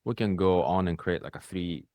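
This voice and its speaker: A man with a low voice and a Japanese accent teaches in an easy, reflective way. His voice often lifts in short bursts and then settles. The sound is dry and close, with little room around it. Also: normal speed, dark tone, no echo. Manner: speaks in rising bursts, often ends with "right?". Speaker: spiritual coach hosting a conversation.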